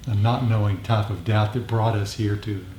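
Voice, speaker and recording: A man's voice: fairly low pitch, steady tone, normal speed, dark tone. A man leading an informal meditation group discussion, explaining reflectively and often repeating his phrases. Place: meditation group meeting room. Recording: echoey room, faint background noise.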